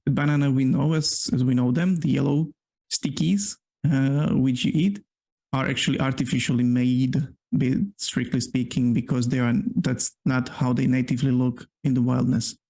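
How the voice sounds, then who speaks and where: Guy, bit of a fry in the voice, rambly explainer on a chill podcast. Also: medium pitch, steady tone, normal speed, warm tone. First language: Slovak. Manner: explains at length with many restarts. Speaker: podcast co-host. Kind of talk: casual chat.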